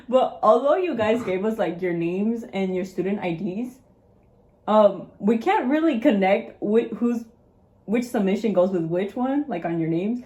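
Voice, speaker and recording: A woman's voice: mid-range voice, animated, measured pace, a little mellow. A young woman co-hosting a casual talk show over a video call, joking and playful. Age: young adult. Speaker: co-host. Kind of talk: casual chat.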